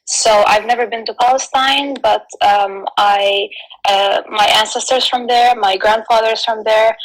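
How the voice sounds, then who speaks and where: A woman from Lebanon giving explanatory answers as an interview guest, speaking haltingly with frequent pauses. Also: fairly high pitch, fairly even intonation, low-quality recording.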